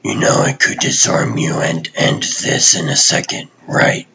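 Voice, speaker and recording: A man voicing a gruff, half-crazy vigilante superhero in a comic dub, terse and deadpan. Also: a little slow. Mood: low, apologetic.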